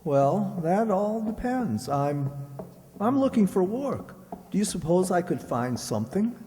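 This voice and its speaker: A man's voice: sits high, big pitch swings, unhurried, warm tone, in a large hall. A man playing a polite, hesitant fugitive feigning innocence in an old-time radio drama. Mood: guarded, hesitant. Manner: hesitant, courteous. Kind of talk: 1940s radio acting.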